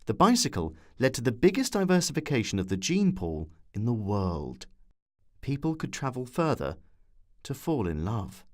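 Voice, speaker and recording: A man's voice: mid-range voice, huge pitch swings, normal speed, a little mellow. A man delivering scripted documentary narration as a storyteller with wry asides.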